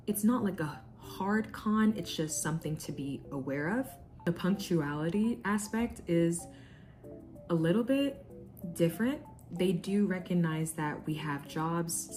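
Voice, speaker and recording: A woman's voice: on the low side, a little mellow. A young woman from the United States talking earnestly and casually in a vlog, giving advice from her own experience. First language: English. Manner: earnest, conversational, with fillers. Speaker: vlogger.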